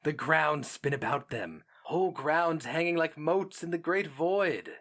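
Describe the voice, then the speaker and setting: A man's voice: a little high, lively intonation, measured pace, fairly bright tone, pitched above the performer's own voice, exaggerated voice. A man playing a proud male mage in a fantasy story, arguing urgently with emphatic, rhetorical delivery. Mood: impassioned, persuasive.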